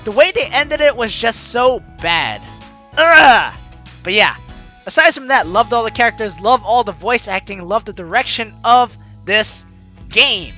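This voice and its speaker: A gaming host gives let's play commentary with a bright, forceful edge and very animated pitch. The delivery is expressive and effusive, full of exclamation. Light game music plays behind the voice. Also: a little slow. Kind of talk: let's play commentary, also reading on-screen text aloud.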